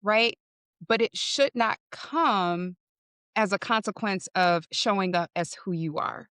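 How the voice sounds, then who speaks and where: A woman guest with a deeper voice, explaining in a measured way and often checking with "right?". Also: fairly bright tone, clean tone, dry recording, clean studio sound. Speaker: podcast guest. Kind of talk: casual interview conversation.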